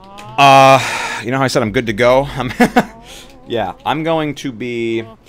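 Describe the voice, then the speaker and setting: A man's voice: medium pitch, measured pace, fairly bright tone. A man chatting casually with stream viewers and a co-host in an easygoing, informal, joking way. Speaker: live-stream roleplay player.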